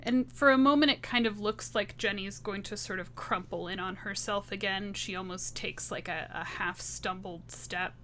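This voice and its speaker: Bright-voiced woman narrating roleplay. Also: mid-range voice, moderate pace, few pauses, a little hiss or hum. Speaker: tabletop game player. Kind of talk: narrating her character's actions.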